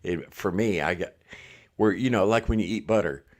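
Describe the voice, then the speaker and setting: Deep-voiced man chatting on a livestream. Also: normal speed. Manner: relaxed, chatty, laughs often. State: congested, blocked ear. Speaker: livestream host. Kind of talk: casual live chat reading comments.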